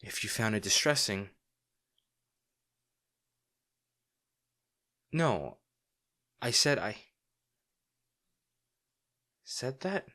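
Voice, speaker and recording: A man playing a devoted, remorseful bodyguard to a noble lady in a fantasy audio drama, speaking in a formal, halting, earnest way. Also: mid-range voice, very animated, sharp tone, exaggerated voice. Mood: restrained, wry.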